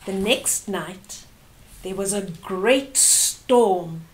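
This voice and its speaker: A woman's voice: medium pitch, extremely slow, a little hiss or hum, poor audio. An older woman hosting a story time, reading aloud expressively and explaining things to young children.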